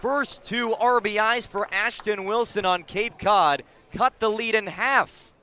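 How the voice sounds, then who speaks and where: A man's voice: high voice, measured pace. A man calling baseball play-by-play for live radio, describing each moment in detail and rising with the action. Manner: descriptive, rising excitedly on big plays. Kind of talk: live radio sports commentary.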